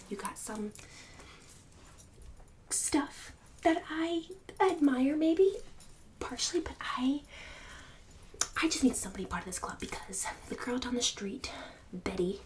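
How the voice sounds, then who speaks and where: A woman's voice: mid-range voice, recorded from a distance, slight background noise, natural voice. A woman playing a snobbish, bossy suburban book club hostess in an ASMR roleplay, condescending and catty with fake-sweet insults. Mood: fake-sweet, bragging. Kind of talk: ASMR roleplay, whispered and soft-spoken.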